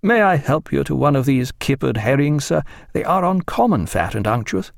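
A man narrating plays a bookish Admiralty official in a historical naval audiobook. The voice sits raised in pitch, and he speaks with formal, deferential precision and an anxious courtesy. The recording is dry. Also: very animated, normal speed, vocal fry, no echo. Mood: polite, eager.

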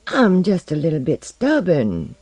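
A reader voicing a coy, stubborn Southern belle with a soft, breathy, lilting Southern US accent. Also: medium pitch, huge pitch swings, a little slow, no room sound, pitched above the performer's own voice. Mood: coy, demure.

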